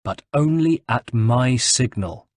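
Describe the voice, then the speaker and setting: A man plays a cool-headed Italian assassin in command in an audiobook. He speaks firmly and tersely with wry ease, a little husky, on a dry recording. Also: mid-range voice, measured pace, no echo. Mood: urgent instruction. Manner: firm, casual, terse. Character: confident Italian assassin leader, calm, firm, wry. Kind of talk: dramatised audiobook narration.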